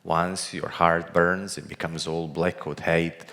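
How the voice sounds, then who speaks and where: Guy on a panel, low voice, earnest and emphatic, big reverby hall. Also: level tone, slow, pushed and bright. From Montenegro. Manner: emphatic, repeats phrases. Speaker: panel speaker. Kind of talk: earnest public panel speech. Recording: echoey hall with long reverb.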